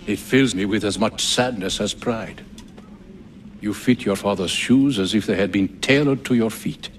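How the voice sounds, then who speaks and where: Casting: male actor for an old fatherly mentor in a game, slightly low register, solemn delivery. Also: normal speed, slight background noise, close to an everyday voice. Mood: tender, wistful. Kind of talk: dramatic game dialogue.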